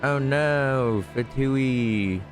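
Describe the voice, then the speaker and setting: A man's voice: mid-range voice, drawn-out words. A man giving casual gameplay commentary as a streamer, thinking aloud with wry, sarcastic asides. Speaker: game streamer. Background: game music.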